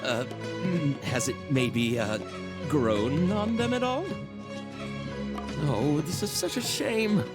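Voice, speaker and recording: A man's voice: a little high, lively intonation, takes it slowly, loud music behind the voice. A man voicing an anxious, hesitant toy shopkeeper in a video game. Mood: dismayed. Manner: hesitant, fretful. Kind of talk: video game cutscene dialogue.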